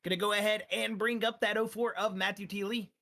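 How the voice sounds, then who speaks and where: A man who is losing his voice, interviewing drivers after a sim race with energetic, rambling talk full of fillers. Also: high voice, brisk. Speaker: sim racing league broadcaster.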